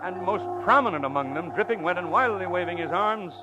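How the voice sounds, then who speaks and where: A man's voice: sits high, animated, brisk, music in the background, rough recording. An actor playing a genteel older con-man professor in an old radio suspense drama, avuncular and wordy, given to flustered stammering. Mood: grave confession.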